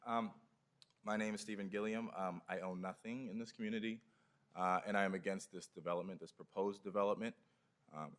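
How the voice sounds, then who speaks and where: Man with a low voice, firm, reads petition comments aloud at a public meeting, lots of ums. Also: a bit bright.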